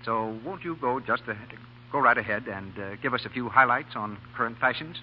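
A male announcer speaks in formal, courteous 1940s radio style. His voice sits at a middle pitch. The recording is muffled, with faint noise. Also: fairly quick. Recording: muffled, noisy 1940 radio broadcast.

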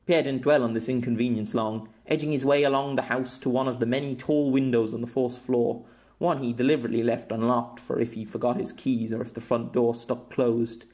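A man's voice: medium pitch, fairly even intonation, a little fast, darkish tone. A man reading a scripted fiction story as a dramatic audiobook narrator.

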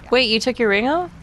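A woman's voice: fairly high pitch, background noise. A woman casually role-playing as a player in a tabletop game.

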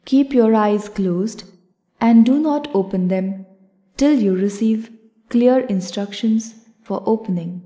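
A woman yoga instructor leading a guided meditation in a calm, measured, instructional way. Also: medium pitch.

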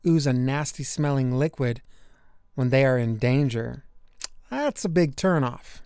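A man narrating a children's nature book aloud, with deliberate, emphatic storytelling for young listeners. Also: medium pitch, a little mellow, dry recording, studio quality.

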